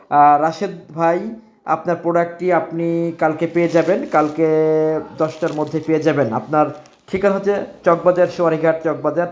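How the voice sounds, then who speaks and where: Bangladeshi man, small-business seller, informal and halting, repeats himself to reassure; roomy echo with faint background noise. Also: on the high side. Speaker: security equipment seller presenting order dispatches. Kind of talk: informal product shipment video addressed to customers.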